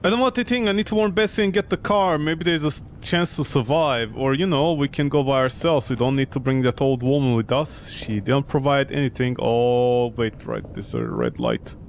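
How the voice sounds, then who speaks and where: A man's voice: medium pitch, lively intonation, moderate pace, creaky, hardly any pauses, a little hiss or hum. A man giving casual, sarcastic and incredulous commentary as he streams a video game.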